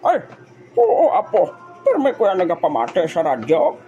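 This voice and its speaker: A woman's voice: on the low side, wildly swooping pitch, moderate pace, warm tone, dull audio, faint music behind. A woman in a comedic audio drama playing a chatty, gullible old grandmother who loves gossip. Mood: gossipy, chatty. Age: older.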